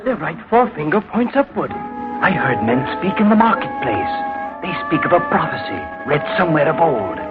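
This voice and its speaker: Muffled old radio play w music, an earnest gullible townsman, high swooping voice. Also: moderate pace. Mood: awed. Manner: animated, declamatory. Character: earnest, credulous townsman in awe of gods. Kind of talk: 1940s radio acting.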